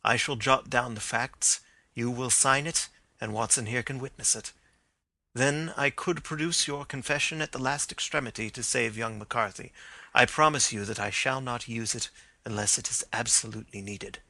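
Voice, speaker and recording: A man voicing a calm male detective who speaks gently and gravely. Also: medium pitch, no room sound. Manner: measured and gentle, gravely reassuring.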